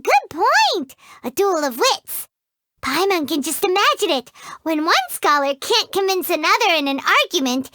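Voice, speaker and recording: A woman voicing a tiny, excitable fairy companion in a video game who talks about herself in the third person. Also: no echo, clean studio sound, heavily acted. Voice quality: high squeaky childlike voice. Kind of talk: video game character dialogue.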